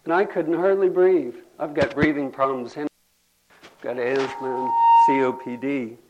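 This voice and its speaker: An older man, telling folksy rambling stories to a gathering and clearing his throat now and then. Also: mid-range voice, fairly even intonation, a little slow, muffled recording, over loud music. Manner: folksy, drawling, says y'all. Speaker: storyteller at a community gathering.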